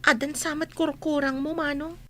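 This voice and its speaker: A woman's voice: sits high, little pitch movement, normal speed, natural voice. An actress playing a touchy, indignant young woman in an Ilocano comedy radio drama, protesting shrilly.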